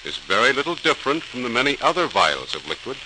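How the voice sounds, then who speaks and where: A man's voice: mid-range voice, very animated, fast talker. A man narrating a scripted story in a dramatic 1940s radio style. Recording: noisy crackling old radio recording.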